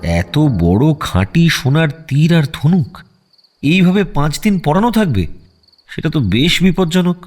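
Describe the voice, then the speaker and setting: An actor playing a shrewd, self-possessed private detective in a radio drama, with a deliberate, probing and wry delivery. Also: male voice, on the high side, sing-song, darkish tone, soft background music. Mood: firm. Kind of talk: Bengali radio audio drama acting.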